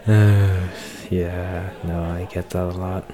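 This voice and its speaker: A man playing a shy, flirtatious celebrity in an ASMR romance roleplay, speaking hesitantly with nervous soft laughs. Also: low voice, level tone, slow. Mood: bashful, awkward. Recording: bar crowd chatter behind voice. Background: crowd noise of a bar.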